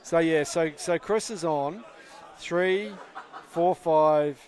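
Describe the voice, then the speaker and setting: New Zealand man, young, excitable tabletop commentator, chatty and quick to laugh. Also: a little high. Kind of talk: casual live commentary.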